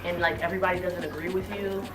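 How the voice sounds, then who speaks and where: A woman's voice: on the low side, steady tone, fast talker. A young woman giving informal, encouraging, emphatic answers in an interview with young students. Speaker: radio host being interviewed. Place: indoors in a room. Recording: distant mic, music behind. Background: music.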